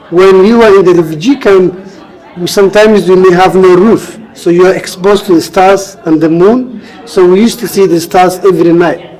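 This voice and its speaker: A man's voice: high voice, a little mellow, rough recording. A man with a Somali accent answering interview questions, explaining earnestly and repeating phrases for emphasis. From Somalia, grew up in Kenya. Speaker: interview guest, author and refugee advocate.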